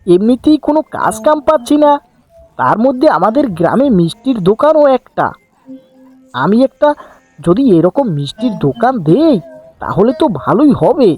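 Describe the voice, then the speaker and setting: A woman's voice: a little high, big pitch swings, normal speed, a little mellow, faint music behind. A woman voicing an eager, affectionate poor village father in a cartoon fairy tale. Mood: pleased, hopeful.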